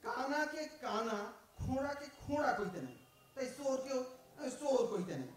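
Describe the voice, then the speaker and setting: Man voicing a sly East Bengali fruit thief, high-pitched, echoey room from far off. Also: animated, normal speed. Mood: wheedling. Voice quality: wheedling, stammering, theatrical. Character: cheeky smooth-talking male fruit thief. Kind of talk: audio drama acting.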